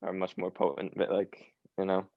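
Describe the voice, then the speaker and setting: Low gravelly young man with Long Island accent. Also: completely flat delivery, moderate pace, no echo, phone-quality sound. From New York, USA. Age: young adult. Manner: hesitant, many fillers and restarts. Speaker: guest, academy footballer. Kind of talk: casual interview chat.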